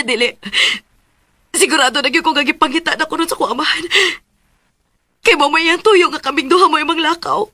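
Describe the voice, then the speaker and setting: A woman's voice: high voice, brisk, pauses often, bright, forceful tone, no room sound. An actress playing an anxious, pleading young woman in a radio drama, with a Cebuano accent. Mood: pleading, distressed. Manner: emotional, pleading.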